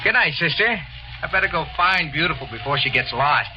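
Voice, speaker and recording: A man acting out a cocky, wisecracking young drifter with a lively, bouncing voice. It comes through muffled old radio with music under it. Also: a little high, brisk, natural voice. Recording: muffled 1940s radio broadcast.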